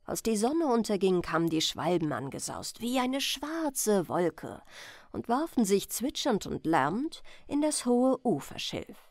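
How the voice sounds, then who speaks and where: Slow, lively woman reading a fairy tale. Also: mid-range voice, no room sound, clean studio sound. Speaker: audiobook reader. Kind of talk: scripted bedtime fairy-tale narration.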